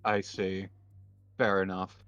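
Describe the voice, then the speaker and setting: A man talks slowly and with understatement, casually working through his turns as a player in a roleplay card game. The recording is dull and lo-fi, with faint music behind. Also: low-pitched, flat delivery, fairly bright tone, smooth. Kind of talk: casual gameplay talk in a roleplay duel.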